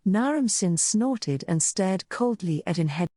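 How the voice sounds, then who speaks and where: A woman's voice: mid-range voice, lively intonation, measured pace, dark tone, dry recording, clean studio sound. A woman reading scripted narration for a dramatic visual novel story.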